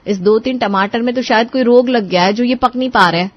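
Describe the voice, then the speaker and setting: A woman's voice: a little high, fairly quick, dull audio, lightly acted. A woman playing a clever, burdened young daughter-in-law who speaks expressively in a cartoon moral story. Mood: coaxing.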